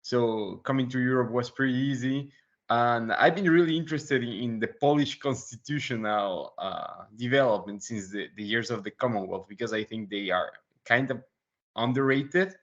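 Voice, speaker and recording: A young man from Ecuador, a student talking informally in a seminar, pausing mid-phrase. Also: medium pitch, fairly bright tone, highs cut off. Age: young adult. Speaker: law student asking questions.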